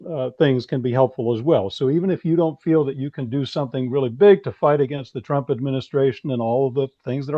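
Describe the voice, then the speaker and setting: A man from the United States speaking earnestly as a panel guest, in a measured, halting, explanatory way. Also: medium pitch. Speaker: panel guest, anthology editor. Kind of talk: earnest casual discussion. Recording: dull, lo-fi call audio.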